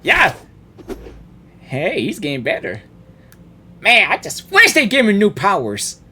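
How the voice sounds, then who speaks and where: A man doing casual, slangy, excitable live reaction commentary as a game streamer. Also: high voice, a little slow, a little hiss or hum.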